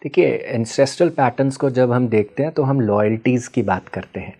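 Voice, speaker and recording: Mellow-voiced man explaining patiently. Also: medium pitch, moderate pace. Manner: patient teacherly explaining with frequent checking questions; mixes Hindi with English terms. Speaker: guest expert on ancestral healing. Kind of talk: explanatory interview answers.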